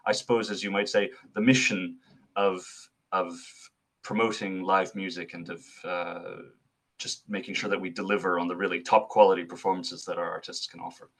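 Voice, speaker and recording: A man's voice: on the low side, fairly bright tone. A young man from Switzerland, answering interview questions as a guest in an articulate, thoughtful way with some fillers and restarts. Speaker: associate artist manager, guest.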